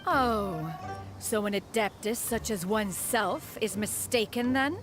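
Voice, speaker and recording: A woman's voice: medium pitch, unhurried, lightly acted. A woman voicing a proud ancient crane spirit who speaks formally and calls herself "one", in a game cutscene. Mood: haughty. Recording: game audio with music underneath.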